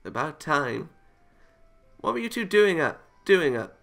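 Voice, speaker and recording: A man's voice: on the high side, big pitch swings, a little slow, creaky, a bit bright, faint music behind, exaggerated voice. A man voicing a bossy, impatient young woman from an anime visual novel, commanding and scolding.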